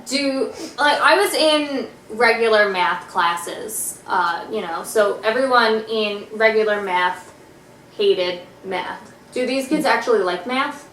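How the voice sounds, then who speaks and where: A woman in her twenties chats with a guest on her home podcast, teasing and full of fillers. Her voice sits a little high and her delivery is slow and unhurried. The living room sounds echoey, with faint noise underneath. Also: a bit bright. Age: 20s. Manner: chatty, teasing, lots of fillers. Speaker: podcast host. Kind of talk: casual chat.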